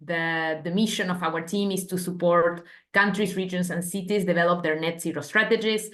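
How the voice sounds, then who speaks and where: A woman policy analyst introducing herself and explaining her organization on a webinar video call, in a clear, explanatory way. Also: lowish voice, steady tone, moderate pace. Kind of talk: webinar presentation.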